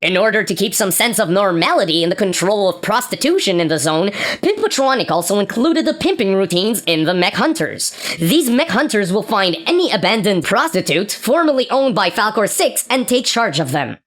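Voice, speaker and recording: A woman's voice: medium pitch, big pitch swings, normal speed, lightly acted. A woman playing a blunt, wry starship captain and anchor in an amateur sci-fi comedy radio play. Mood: newscaster delivery. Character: exasperated, sweary starship captain and news anchor.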